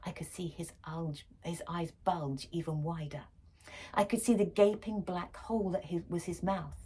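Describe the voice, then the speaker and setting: A woman reading a children's story expressively and dramatically, shifting between hushed and emphatic delivery. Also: on the low side, husky. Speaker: story reader.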